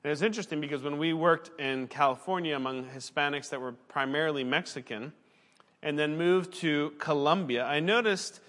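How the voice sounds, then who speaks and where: A man's voice: fairly high pitch, moderate pace, a bit bright, long reverb tail. A man, a pastor and former missionary, preaching a sermon in an earnest, conversational way and now and then correcting himself mid-sentence.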